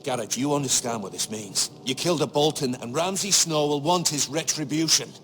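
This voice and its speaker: A man's voice: fairly high pitch, a bit bright, soft background music, natural voice. Man playing a prudent, grave castle steward. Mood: cautious, firm. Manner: measured, earnest, formal. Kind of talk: dramatic fantasy game voice acting.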